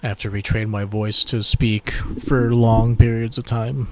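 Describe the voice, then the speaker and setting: A man's voice: lowish voice, level tone, a little slow. Tired-voiced man in casual game commentary. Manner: laid-back, swears at mishaps, slangy. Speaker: gameplay commentator. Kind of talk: casual let's-play commentary.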